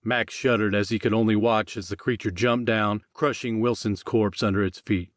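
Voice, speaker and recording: A man's voice: on the low side, steady tone, moderate pace, no room sound, clean studio sound. A man reading scripted fiction as an audiobook narrator.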